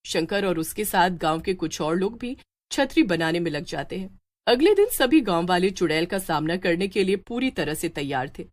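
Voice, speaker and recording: A woman's voice: lowish voice, lively intonation, normal speed. A woman storyteller reading scripted, dramatic narration for a children's tale.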